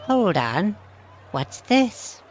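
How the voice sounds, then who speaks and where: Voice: mid-range voice, sing-song, extremely slow, a little hiss or hum. An actor plays an imperious young fantasy queen, haughty and used to giving orders, yet ready to wheedle. It is audio drama acting, with faint outdoor ambience behind the voice. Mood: startled, demanding.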